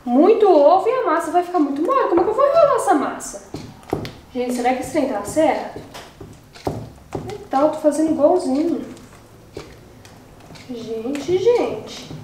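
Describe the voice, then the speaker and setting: A young woman talks to her viewers while she cooks, high-pitched and chatty, sounding fed up with the recipe. She is recorded in a home kitchen on the camera's mic, with a noticeable echo and faint clatter from the cooking. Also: big pitch swings, normal speed, pauses often, darkish tone, a little hiss or hum. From Brazil. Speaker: channel host. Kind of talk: casual cooking vlog chat.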